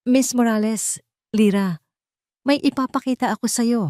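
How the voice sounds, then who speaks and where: Measured, courteous male attorney voiced by a woman. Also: fairly high pitch, a little mellow, dry recording, studio quality, voice pushed up, close to an everyday voice. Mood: careful, insistent. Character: courteous, sympathetic male attorney with grave news.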